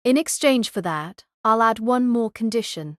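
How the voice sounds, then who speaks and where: A woman playing a cold, deadpan young senpai. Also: fairly high pitch, normal speed, dry recording, studio quality, lightly acted. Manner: flat, cold, demanding. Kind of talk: amateur audio drama acting.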